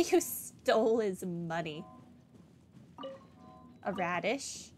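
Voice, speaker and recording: A woman's voice: medium pitch, animated, very slow, a little mellow. A woman giving casual playthrough commentary as a game streamer, sometimes reading dialogue aloud. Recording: game music behind the voice. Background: video game music.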